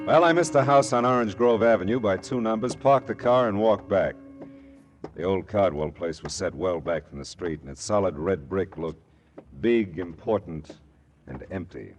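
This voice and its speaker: An actor playing a wry, smooth-talking hard-boiled private detective in a 1940s style radio drama, with dry wisecracks. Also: male voice, deep voice, lively intonation, moderate pace, faint music behind. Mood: wry narrating.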